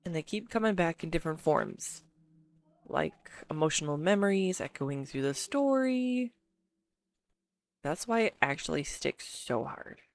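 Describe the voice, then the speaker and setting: A woman playing an excitable, affectionate girlfriend in a scripted roleplay, earnestly info-dumping to her listener with halting pauses. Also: sits low. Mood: soft, reflective. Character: excitable, affectionate neurodivergent girlfriend gushing over her favourite film. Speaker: amateur voice actor. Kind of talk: scripted roleplay audio monologue spoken to a listener.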